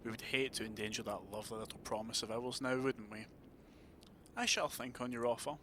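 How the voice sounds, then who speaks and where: A man's voice: medium pitch, brisk, background noise, natural voice. A man playing an authoritative vampire prince in an audio drama, formal, courteous and imperious. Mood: cool, dismissive.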